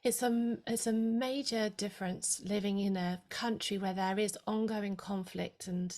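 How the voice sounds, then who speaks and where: A woman's voice: mid-range voice, steady tone, moderate pace. Enthusiastic woman hosting a podcast. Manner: warm, enthusiastic interviewer asking rambling questions. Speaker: podcast host. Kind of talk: podcast interview.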